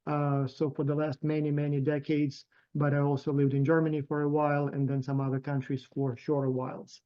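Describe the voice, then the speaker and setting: A man's voice: fairly high pitch, completely flat delivery, brisk, warm tone. A middle-aged man with a Ukrainian accent, a professor and program founder, talking loosely on a video call with many fillers and restarts. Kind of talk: casual online meeting talk. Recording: dull lo-fi video call audio.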